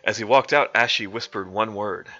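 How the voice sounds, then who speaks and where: A man's voice: on the low side, moderate pace, bright, forceful tone. A young man streaming a mock-dramatic reading of a comic fanfic, often cracking up with laughter. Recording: dull lo-fi stream audio with faint music.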